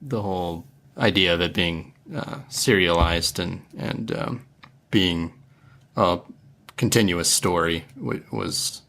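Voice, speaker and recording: A man co-hosting a podcast, chatting casually in a halting, laid-back way. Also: sits low, creaky, fairly bright tone. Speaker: podcast co-host.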